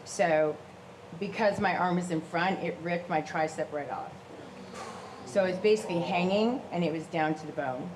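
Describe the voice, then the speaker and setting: A woman's voice: lowish voice, normal speed. Woman with a big voice, noisy room. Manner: big voice, animated, gestures while talking. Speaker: shark attack survivor and guest speaker. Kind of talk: storytelling talk to a live audience. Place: room with a live audience. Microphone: handheld microphone.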